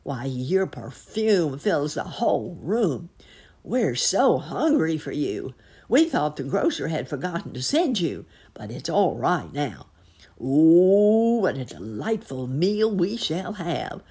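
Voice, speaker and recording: A woman voicing hungry, greedy, excitable rats in a storybook, exclaiming loudly and gleefully. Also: fairly low pitch, normal speed, creaky, few pauses, slightly rough, voice pushed up, heavily acted. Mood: greedy glee.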